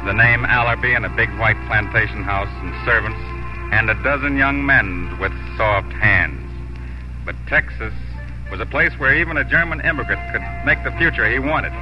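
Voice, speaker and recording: An actor playing an earnest, proud young immigrant cattle drover in 1950s radio drama acting and narration. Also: male voice, mid-range voice, normal speed, close to an everyday voice. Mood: reflective, narrating. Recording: muffled old radio broadcast, music.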